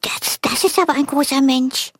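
A woman's voice: sits high, moderate pace, dry recording, clean studio sound, natural voice. A woman voicing a timid, chatty little female mouse who stammers nervously in a children's TV puppet play.

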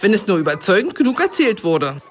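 A woman's voice: medium pitch, sing-song, fairly quick, faint music behind, lightly acted. A woman playing a patient old kindergarten teacher who lectures the children in a radio comedy for kids. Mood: explaining. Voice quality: explaining, singsong listing. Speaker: kindergarten teacher Frau Hühnerbein.

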